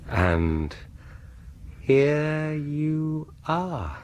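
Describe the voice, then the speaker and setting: An actor playing a sinister, vengeful male dentist in a radio horror drama, gloating and deliberate, lingering on words and dropping into whispers. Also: a little high, a little hiss or hum, exaggerated voice. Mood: gloating menace.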